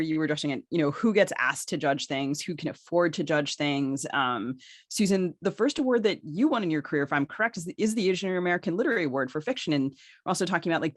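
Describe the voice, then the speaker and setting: A woman's voice: deep voice, no room sound, clean studio sound. A woman co-hosting a conversational literary discussion, thinking aloud as she builds long, discursive questions. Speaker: podcast co-host, interviewer.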